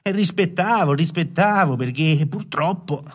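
An actor playing a lovelorn, self-absorbed poet in a radio play, rambling colloquially and confidingly with a southern Italian accent. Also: male voice, fairly high pitch, expressive, a little slow, breathy edge. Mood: aggrieved.

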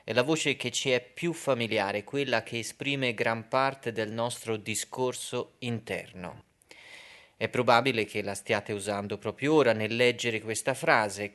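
A man hosting a radio programme, introducing it in a measured, explanatory way with hesitant pauses. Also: medium pitch, fairly bright tone. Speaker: radio host.

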